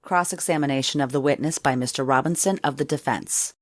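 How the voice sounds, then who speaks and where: A woman giving formal scripted narration to introduce a dramatic courtroom reenactment, announcing in a measured way. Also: a bass voice, no room sound, studio quality. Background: music.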